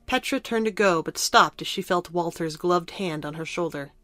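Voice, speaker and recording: A woman's voice: lowish voice, moderate pace, a bit bright, no echo, soft background music. A woman narrator reading scripted narration for a fantasy audio drama.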